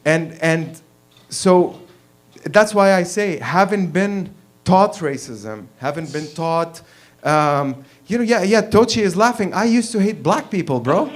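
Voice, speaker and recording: A man in his thirties from Lebanon telling a personal story at an open mic, blunt and profane, laughing and getting louder as he gets worked up. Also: sits high, animated, measured pace. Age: 30s. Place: small performance venue, in front of an audience.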